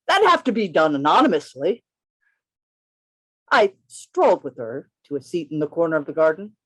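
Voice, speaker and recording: A man's voice: fairly high pitch, slightly rough, rough recording. A man reading the part of a witty, chivalrous poet who narrates his own adventure in a radio play, in formal, theatrical language over a video call. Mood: playfully argumentative.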